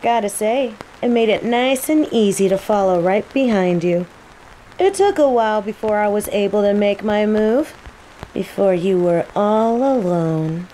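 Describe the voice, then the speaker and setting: Woman acting as a slow-talking, smug young mage. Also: medium pitch, natural voice, rough recording. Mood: teasing, smug. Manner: playful, taunting, unhurried. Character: teasing young female nature mage, smug rival apprentice. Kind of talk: roleplay audio drama monologue. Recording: steady rain noise behind. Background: rain.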